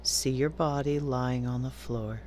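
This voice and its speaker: A woman teaching yoga nidra, giving calm, deliberate guided meditation instructions with long pauses between phrases. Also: extremely low pitch, a little hiss or hum.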